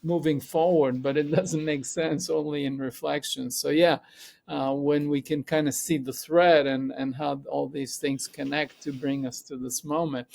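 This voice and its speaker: Gravelly, fairly high-voiced man. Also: fairly even intonation, moderate pace, a little mellow. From Israel. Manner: thoughtful, rambling with self-corrections. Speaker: podcast guest, psychologist and coach. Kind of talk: reflective conversational interview.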